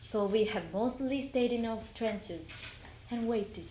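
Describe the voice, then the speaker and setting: A woman giving a deliberate, expressive dramatic reading of a wartime letter for a readers theater. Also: on the high side, slight background noise. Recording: echoey room.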